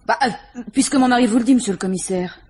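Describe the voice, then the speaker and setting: Husky, obsequious drunkard's wife. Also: female voice, on the high side, fast, mellow. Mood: nervous, evasive. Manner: ingratiating, evasive, hesitant. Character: shifty, obsequious hard-drinking working-class wife. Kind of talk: French radio crime drama acting.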